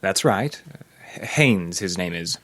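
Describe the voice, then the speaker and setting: A man's voice: deep voice, expressive, slow pace, a bit bright, full character voice. An actor playing a courteous, precise doctor in an old-time radio mystery, formal and guarded in his wording. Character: formal, smooth, accusing male sanatorium doctor.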